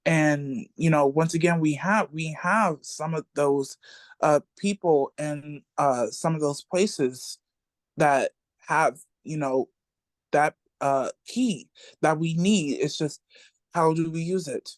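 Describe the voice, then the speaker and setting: Slow, earnest speaker in a discussion. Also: mid-range voice, dry recording. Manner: slow, earnest, repeats key phrases. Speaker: reading group member. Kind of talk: casual discussion.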